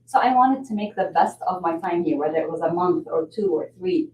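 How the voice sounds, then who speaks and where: Low-voiced woman from Lebanon. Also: animated, darkish tone. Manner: measured storytelling with fillers. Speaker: nonprofit development director guest. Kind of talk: podcast interview. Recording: roomy audio, mic at a distance, faint hiss.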